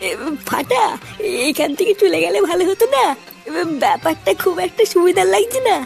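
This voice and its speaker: A woman's voice: very high-pitched, expressive, fairly quick, vocal fry, music in the background, full character voice. A woman voicing a timid male thief's sidekick in a comic cartoon, hesitant, fretful and pleading. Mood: fearful.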